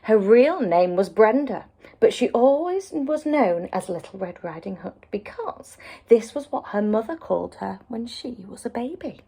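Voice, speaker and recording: A woman's voice: a little high, animated, a little slow, dark tone, slightly rough. A woman teacher narrating a children's story expressively, as if to young listeners.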